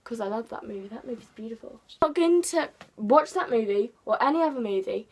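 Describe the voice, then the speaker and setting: Chatty high-voiced English woman vlogger. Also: expressive, brisk, slightly rough. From England. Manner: chatty, rambling, self-deprecating, playful. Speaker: book vlogger. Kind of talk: casual chat to camera.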